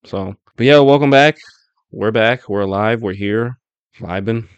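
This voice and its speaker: A man's voice: on the low side, a little slow, clear, resonant tone, dry recording, highs cut off. A young man hosting a casual podcast chat. He talks in a laid-back way, laughs often and restarts his phrases. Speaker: podcast host. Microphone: podcast mic.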